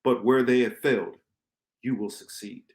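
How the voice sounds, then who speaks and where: A man acting a grave, commanding rebel mentor in an amateur radio play, with deliberate, emphatic phrasing and dramatic pauses. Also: medium pitch, fairly even intonation, a little mellow, natural voice. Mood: solemn, resolute. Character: grave, commanding male rebel mentor lecturing his pupil. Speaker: podcast host reading a radio play.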